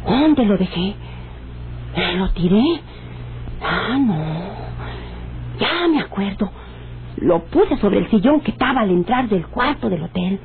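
A woman's voice: mid-range voice, lively intonation, slow pace, pauses often. An actress with a Mexican accent playing a scheming, vengeful woman talking to herself in a radio drama. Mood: searching, muttering. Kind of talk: old-time radio drama acting. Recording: tinny, very noisy old radio recording.

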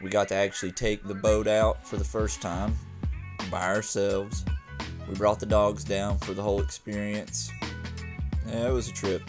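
A man's voice: fairly low pitch, level tone, normal speed. A man in his forties, narrating a casual boat vlog and talking to the camera from his sailboat. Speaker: vlogger. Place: sailboat outdoors on the water. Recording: background music under the voice.

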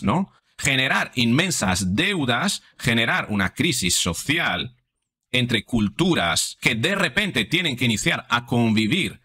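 A man's voice: medium pitch, sharp tone. A man in his forties, a psychologist giving argumentative opinion commentary in a home studio, speaking into a studio mic in front of him, often tagging "no?" onto his points. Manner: argumentative, frequent rhetorical "¿no?" tags. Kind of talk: opinion commentary over social posts. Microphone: studio mic on stand in front.

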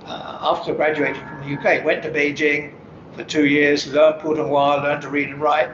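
Measured older man in an echoey studio. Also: fairly high pitch, flat delivery, dull audio, noisy background. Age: 70s. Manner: measured, qualifies his points, laughs at his own wording. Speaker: interview guest, retired senior official. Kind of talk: studio interview conversation. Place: green-screen TV studio, seated.

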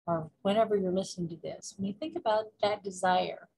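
A woman's voice: medium pitch, a little fast, vocal fry, darkish tone, slightly rough, low-quality recording. Woman leading a guided affirmation meditation. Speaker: guided meditation leader, spiritual coach.

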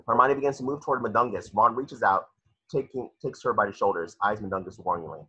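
Low, husky man reading stage directions. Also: fast, bright, forceful tone. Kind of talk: scripted narration in amateur table read. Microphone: video call. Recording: muffled video-call audio.

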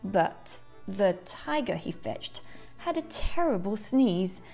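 Slow, animated woman reading a storybook. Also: mid-range voice, creaky. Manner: theatrical, dramatic phrasing. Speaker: children's book narrator. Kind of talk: expressive rhyming storybook read-aloud. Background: classical music.

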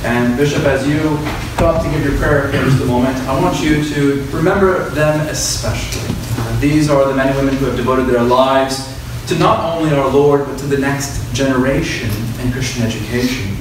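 A man's voice: medium pitch, moderate pace, hardly any pauses. A man, a priest, giving courteous, semi-formal announcements to a church congregation. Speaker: officiating priest. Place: church interior, at a lectern. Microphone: distant camera in the pews. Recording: very echoey church, distant, noisy.

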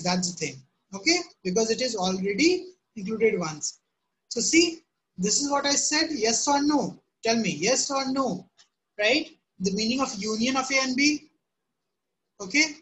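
A woman's voice: a little high, a bit bright, poor audio. Woman teaching math online. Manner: checks in often with students, repeating "right?" and "okay?". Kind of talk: live online class lecture. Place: home room.

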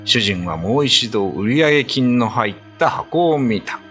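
A man's voice: mid-range voice, animated, unhurried, a bit bright. A man narrating a ghost story in a dramatic radio drama reading. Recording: music under the voice. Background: background music.

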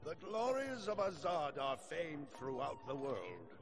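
A man's voice: huge pitch swings, exaggerated voice. An actor playing a proud, imperious middle-aged king in a fantasy game, with a regal, proclaiming delivery. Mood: ceremonial. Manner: regal, booming proclamations, measured. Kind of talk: dramatic fantasy game acting. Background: loud dramatic music.

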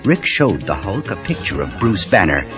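A man's voice: deep voice, very animated, normal speed. A man narrating a children's adventure story in a dramatic, emphatic scripted style. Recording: muffled cassette with prominent music.

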